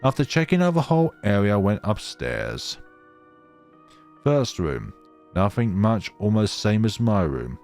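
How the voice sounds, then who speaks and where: A man reading a visual novel aloud in a theatrical, expressive way and adding his own playthrough commentary. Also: fairly low pitch, measured pace, soft background music.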